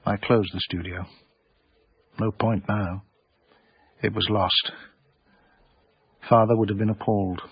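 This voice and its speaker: An actor playing a middle-aged, melancholy art dealer, narrating a radio drama in a measured, restrained, formal way. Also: male voice, fairly low pitch, fairly even intonation, breathy edge, full character voice. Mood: resigned calm. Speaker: narrator and lead character. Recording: slightly dull radio recording, roomy.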